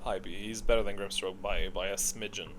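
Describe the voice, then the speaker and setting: A man giving casual, unscripted commentary on a video game, opinionated and thinking out loud as he ranks things. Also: lowish voice, steady tone, a little fast, a little hiss or hum.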